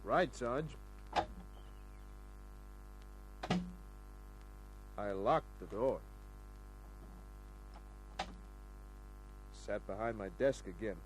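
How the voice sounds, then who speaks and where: An actor playing a cool, sardonic private detective in an old-time radio crime drama. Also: male voice, mid-range voice, lightly acted, poor audio. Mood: tense narration. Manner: dry, sardonic, unruffled.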